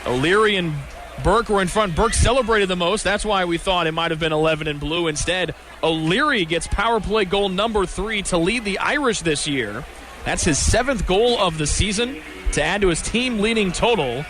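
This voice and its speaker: A man's voice: high-pitched, moderate pace, fairly bright tone, slight background noise. A man doing live radio hockey play-by-play, describing the action in clipped, descriptive fragments. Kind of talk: live sports commentary. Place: hockey arena during a live game.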